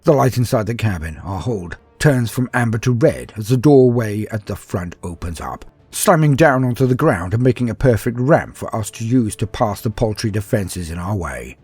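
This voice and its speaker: A man voicing a proud, battle-hungry space marine in a sci-fi war story, with a husky voice and a haughty, grandiose manner. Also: lowish voice, animated, moderate pace, few pauses, darkish tone, no echo, faint music behind. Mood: grim, intense. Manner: haughty, scornful, grandiose, dramatic. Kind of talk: first-person dramatic sci-fi war storytelling.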